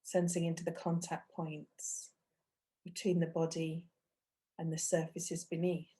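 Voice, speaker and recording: Mellow, low-voiced woman guiding meditation. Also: monotone, slightly rough. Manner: gentle, unhurried, with long pauses between phrases. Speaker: meditation teacher. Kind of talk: guided meditation.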